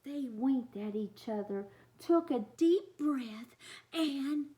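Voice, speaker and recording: A woman's voice: sits high, expressive, slow, dark tone. A woman reading a storybook aloud for young children, expressively, with chatty asides to her listeners.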